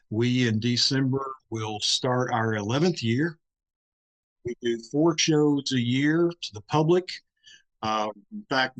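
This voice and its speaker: A man's voice: mid-range voice, a bit bright, poor audio. A man from Kentucky speaking casually as a panelist introducing himself. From Kentucky, United States. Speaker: audio drama group leader, panelist.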